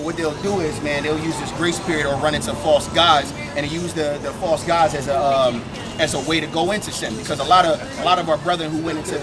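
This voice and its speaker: A man reading scripture aloud on a city street in a proclaiming, rising cadence, then preaching on it. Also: a little high. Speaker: scripture reader. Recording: street crowd and music behind.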